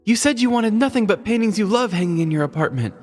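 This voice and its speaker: A woman as a husky, animated, scheming older gal pal. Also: medium pitch, quick pace, in a large hall, soft background music, lightly acted. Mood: conspiratorial, encouraging. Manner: sultry when flirting, conspiratorial, expressive.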